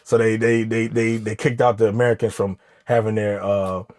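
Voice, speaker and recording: A man guesting on a podcast, relaxed and slangy, often backing up the host with quick yeps. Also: lowish voice. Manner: relaxed, slangy, frequent affirmations. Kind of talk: casual podcast conversation.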